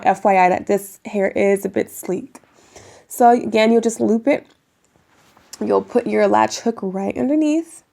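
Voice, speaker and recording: A young woman with a raspy voice from a cold, chatting casually to viewers while demonstrating a hair tutorial at home. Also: on the high side, a little mellow. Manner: chatty, addresses viewers as you guys, many ums. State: raspy voice getting over a cold. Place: bedroom at home, indoors.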